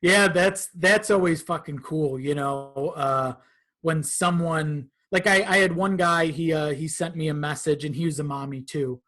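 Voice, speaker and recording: A man's voice: a little high, unhurried, a bit bright. A man talking casually as a podcast guest, informal and conversational, with some swearing. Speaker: podcast guest, content creator.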